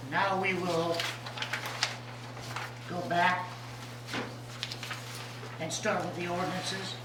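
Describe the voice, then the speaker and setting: A man chairing a city council meeting, his voice weak after recent vocal cord surgery, talking haltingly with many fillers. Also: sits high, little pitch movement. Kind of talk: presiding over a public meeting. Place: council chamber. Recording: echoey room, distant mic, background noise.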